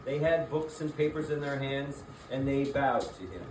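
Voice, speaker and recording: A man's voice: mid-range voice, moderate pace. Male narrator in echoey, noisy room. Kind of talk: scripted story narration. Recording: distant echoey room, clear background noise.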